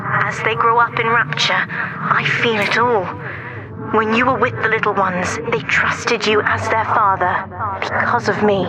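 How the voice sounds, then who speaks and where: An actress playing an earnest, devoted young woman in a video game, speaking with intimate, measured drama. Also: fairly high pitch, steady tone, heavy background noise, natural voice. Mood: reflective, earnest. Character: earnest young woman, devoted daughter, newly freed and determined.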